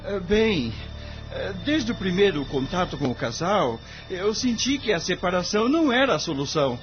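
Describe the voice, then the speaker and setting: An actor playing an earnest, upright young lawyer with a Brazilian accent, polite and sincere, in an audio drama. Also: male voice, sits high, very animated, normal speed. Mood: earnest, firm. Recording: dull lo-fi audio, music underneath.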